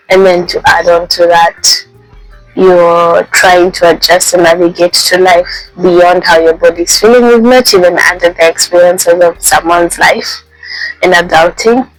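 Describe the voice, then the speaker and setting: A young woman vlogging casually, speaking softly with a voice made raspy by a flu, pausing often with ums. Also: fairly low pitch, fairly even intonation, dry recording, faint music behind, low-quality recording. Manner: soft-spoken, halting with many ums. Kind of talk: casual personal vlog. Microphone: handheld mic.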